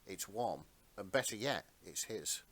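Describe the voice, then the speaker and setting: A man narrating fan fiction in a dramatic storybook style with theatrical, deliberate phrasing. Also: lowish voice, lively intonation, fairly bright tone.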